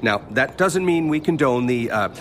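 Actor as a prim, evasive homeowner with swooping pitch. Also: male voice, lively intonation, normal speed, a bit bright, a little hiss or hum, lightly acted. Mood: smug, defensive. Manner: prim, hedging, self-justifying. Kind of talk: radio drama acting. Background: music.

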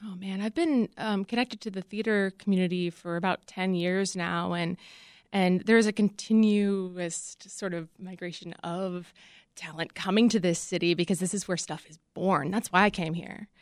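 A woman's voice: on the low side, fairly even intonation, moderate pace, no room sound, clean studio sound. A woman answering interview questions as a guest, speaking casually and conversationally about her creative work. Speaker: interview guest, casting director.

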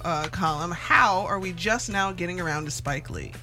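A woman's voice: on the low side, moderate pace, bright, forceful tone, soft background music. A woman hosting a casual radio talk from a studio, with informal, folksy phrasing. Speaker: radio host.

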